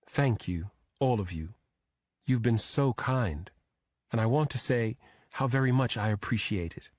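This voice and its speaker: A man's voice: medium pitch, unhurried, a little mellow, dry recording, lightly acted. A man acts out an earnest young woman in an audiobook. She speaks with polite, admiring formality. The recording is dry and close. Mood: grateful, formal. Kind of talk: audiobook character dialogue.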